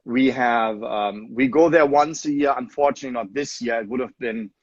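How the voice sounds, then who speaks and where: A man answers in a relaxed, matter-of-fact way at an ordinary middle pitch. His audio sounds dry and a little muffled, with no room echo. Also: moderate pace. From Germany. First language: German. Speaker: soccer club owner and general manager. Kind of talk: casual video-call interview.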